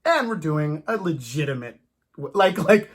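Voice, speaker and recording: A man chatting casually about films as a host, in an animated, rambling fan's way. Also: a little high, moderate pace.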